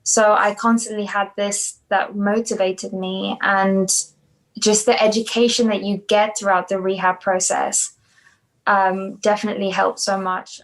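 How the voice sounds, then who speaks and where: A woman's voice: mid-range voice, level tone, unhurried, low-quality recording. A young woman from South Africa, a dancer being interviewed, talking casually with many hesitations and restarts. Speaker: podcast guest, dancer.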